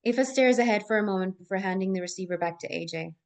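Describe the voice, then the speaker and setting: A woman serves as narrator at a live screenplay table read, reading the stage directions aloud. Her voice sits at a middle pitch. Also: fast talker.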